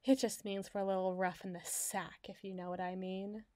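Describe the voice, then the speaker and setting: A woman's voice: medium pitch, flat delivery, moderate pace, a little mellow, no echo, clean studio sound, close to an everyday voice. A woman voicing an obsessive, masochistic lovesick girl in a theatrical audio roleplay, needy and taunting. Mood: menacing, coy. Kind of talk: dramatic ASMR roleplay monologue.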